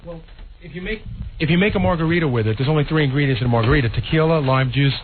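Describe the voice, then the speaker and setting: A man's voice: fairly high pitch, racing. An American man casually explaining things as a sidekick on a radio show. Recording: tinny noisy radio sound.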